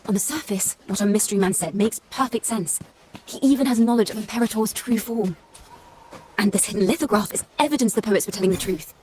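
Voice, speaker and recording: A woman's voice: mid-range voice, fairly quick, darkish tone, a little hiss or hum, close to an everyday voice. An actress playing a composed young female bard who investigates hidden truths in a video game. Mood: thoughtful. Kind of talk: scripted video game dialogue.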